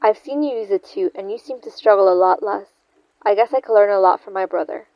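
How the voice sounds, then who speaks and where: An actress playing a confident, dutiful psionic agent and sister in a sci-fi audio drama, composed and assured. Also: female voice, medium pitch, a little fast, no room sound, dull audio, lightly acted. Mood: admiring.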